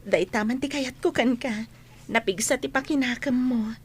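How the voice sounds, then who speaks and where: High-voiced, earnest schoolgirl played by an actress. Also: normal speed, slightly rough. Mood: caring, concerned. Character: caring, earnest schoolgirl friend. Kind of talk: radio drama acting. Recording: faint background ambience and noise.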